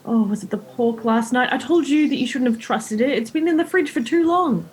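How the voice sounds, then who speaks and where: A woman's voice: high voice, lively intonation, a little fast. An actress in a radio play playing a teasing, blunt young woman, a devoted girlfriend and sister, in a naturalistic style. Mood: puzzled, teasing.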